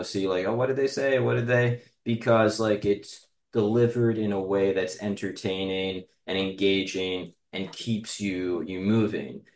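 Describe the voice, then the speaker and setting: Man, echoey room, lowish voice, podcast host, casual, halting delivery. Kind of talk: casual interview chat. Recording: roomy, echoey sound.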